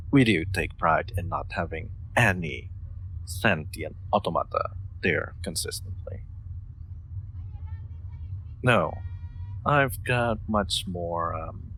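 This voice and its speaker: A man playing a gentle, awkward lord in an audio roleplay, speaking politely and formally with frequent hesitations. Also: low voice, gravelly, slight background noise, heavily acted, low-quality recording. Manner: hesitant, polite, formal wording with stammered ums. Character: gentle, awkward young lord, courteous automaton owner. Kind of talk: scripted audio roleplay, intimate one-sided dialogue.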